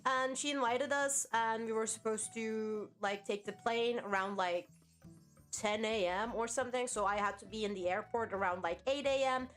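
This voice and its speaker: Chatty Turkish-born woman streamer, higher voice. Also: moderate pace, a bit bright, faint music behind. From Turkey. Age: 30s. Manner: rambling, chatty, self-mocking, swears casually. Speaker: VTuber streamer. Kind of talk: casual livestream chat.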